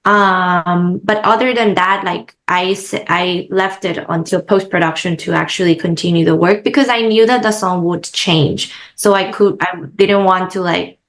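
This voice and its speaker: A woman filmmaker answering interview questions in a halting way, with frequent "um" and "uh" pauses. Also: fairly low pitch, fairly even intonation. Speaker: filmmaker guest.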